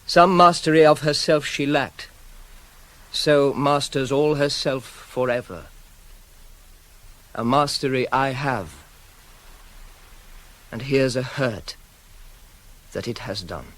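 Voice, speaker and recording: An actor playing a proud, self-controlled young prince wronged by his father, in a formal, measured, declamatory classical tragedy style for radio. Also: medium pitch, a bit bright, poor audio. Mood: solemn, pleading.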